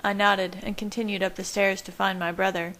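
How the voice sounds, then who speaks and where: A woman reading scripted first-person fiction as an amateur audiobook narrator, measured and steady. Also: medium pitch, rough recording.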